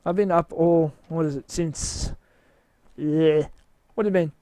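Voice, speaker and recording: Tired man in a dry booth, high voice, hesitant rambling tour of his build, pokes fun at himself. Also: fairly even intonation, unhurried, warm tone, dry recording. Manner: rambling, hesitant, self-mocking. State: tired, voice wearing out. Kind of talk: casual rambling walkthrough commentary.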